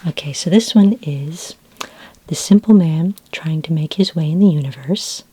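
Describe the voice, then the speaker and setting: A woman whispering softly in a breathy voice as a gentle, helpful, slightly hesitant librarian in an ASMR roleplay. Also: sits low, moderate pace, a little hiss or hum. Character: friendly, patient futuristic library librarian. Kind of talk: soft-spoken ASMR roleplay. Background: computer keyboard typing.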